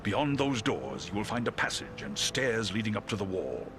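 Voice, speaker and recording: A man voicing a noble, courteous talking eagle in a video game, speaking formally and with measured gravity. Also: on the low side, big pitch swings, vocal fry, background noise. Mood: grave, resolute. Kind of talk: video game cutscene acting.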